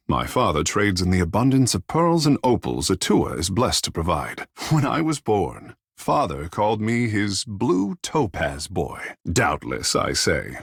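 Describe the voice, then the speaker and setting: A man playing a proud, vain young godlike islander sea mage in a fantasy video game, speaking in lofty, flowery, formal phrases with a husky, breathy voice. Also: low voice, no echo, clean studio sound, heavily acted. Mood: proud, boastful. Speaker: companion character in a fantasy game. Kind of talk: theatrical video game dialogue.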